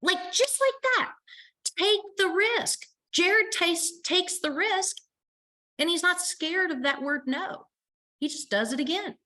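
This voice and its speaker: Bright, enthusiastic female co-host. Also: very high-pitched, takes it slowly. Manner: enthusiastic, bragging on a colleague. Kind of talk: live online panel talk.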